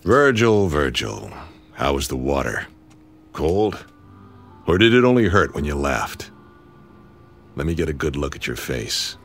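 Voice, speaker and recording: A man's voice: very deep voice, big pitch swings, measured pace, pauses often, faint music behind, full character voice. A man acting a sardonic, menacing tough guy bent on revenge in a radio drama, terse and mocking. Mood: cool, terse. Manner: terse, mocking, hard-boiled.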